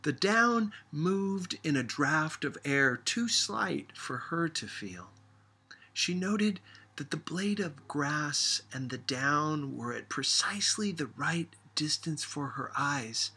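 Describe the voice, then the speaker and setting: A man narrating a literary story as an audiobook reader, with measured and deliberate phrasing. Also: on the high side, expressive, bright, forceful tone, slightly rough.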